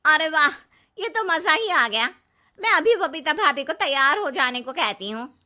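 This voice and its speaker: A woman acts out a suspicious, sharp-tongued housewife from a comic cartoon. Her high, piercing voice swings up and down as she scolds and teases with pointed questions. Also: lively intonation, normal speed, heavily acted. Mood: delighted. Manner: scolding, teasing rhetorical questions.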